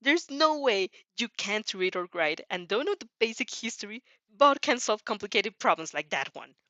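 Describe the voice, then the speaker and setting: A woman's voice: mid-range voice, lively intonation, moderate pace, bright, forceful tone, no room sound. A woman voicing a scornful, hot-tempered, foul-mouthed teenage boy in a fan fiction reading, sneering as the character speaks. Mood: indignant outburst. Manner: sneering, swearing. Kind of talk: fan fiction read aloud.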